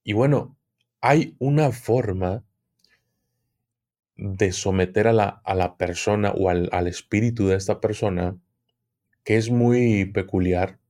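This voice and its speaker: A man's voice: fairly low pitch, darkish tone. A man who is an occultism expert guest, explaining at length in an interview and often repeating words while he searches for them.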